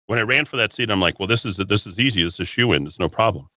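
A male radio host talks straight into a dry, close studio mic with a forceful, bright edge to his fairly low voice. He rambles and restarts his sentences as he argues, and he sniffles from a runny nose. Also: lively intonation, a little fast, no echo. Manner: combative, rambling, repeats words and restarts. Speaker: radio talk show host. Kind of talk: solo talk radio monologue.

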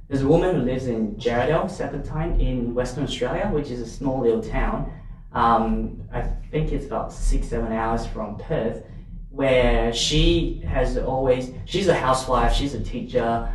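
Male guest, Malaysian accent, mid-pitched, conversational storyteller; distant, reverberant recording with faint noise. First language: not English, learned it as a third language. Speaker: podcast guest, volunteer advocate. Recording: very echoey room, off mic, faint noise.

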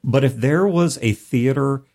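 A man's voice: medium pitch, unhurried, a little mellow. A man co-hosting a casual discussion, explaining things through everyday analogies. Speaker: podcast co-host. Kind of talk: casual discussion of tabletop role-playing.

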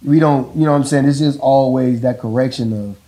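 Deep voiced dad just chatting, kinda rambly, warm mellow tone. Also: male voice, fairly quick. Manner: relaxed, rambling, repeats "you know what I'm saying". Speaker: father in a couple interview. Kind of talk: casual talk about parenting.

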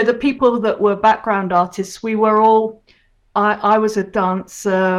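A woman in her sixties from Hong Kong, chatting as a guest in an interview and reminiscing about her career. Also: mid-range voice. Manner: chatty, reminiscing, quick to laugh. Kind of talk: casual interview.

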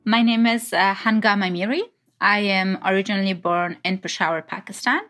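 Woman, 30s, from Afghanistan, artist, interview guest, thoughtful, pausing. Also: medium pitch, steady tone, a bit bright. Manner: thoughtful, with frequent um pauses. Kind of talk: casual interview answers.

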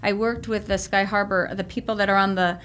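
A woman guest in a casual interview, telling animated stories and laughing often. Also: mid-range voice, fast, slight background noise. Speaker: guest, singer and laughter workshop leader.

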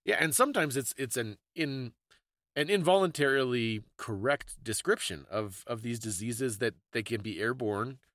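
A man's voice: medium pitch, moderate pace, fairly bright tone, no room sound, clean studio sound. A man co-hosting a podcast, talking casually about history in a bookish, explanatory way. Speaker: podcast co-host. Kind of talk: casual conversational history discussion.

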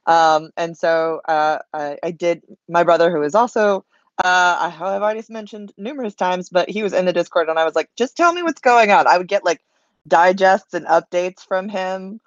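A woman's voice: fairly low pitch, moderate pace, no echo, dull audio. A woman panelist chatting casually, with an informal, self-deprecating way of talking. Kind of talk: casual chat.

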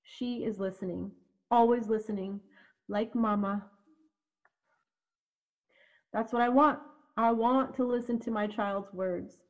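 A woman reciting a spoken-word piece in a measured, prayerful way. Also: mid-range voice, steady tone. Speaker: event speaker. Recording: dull lo-fi audio.

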